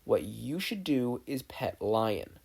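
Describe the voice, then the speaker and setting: Young warrior woman for audio fan fiction, acted by a narrator: mellow, firm, reassuring, fiercely protective. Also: medium pitch, sing-song, slow, vocal fry, husky, full character voice. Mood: steady, caring.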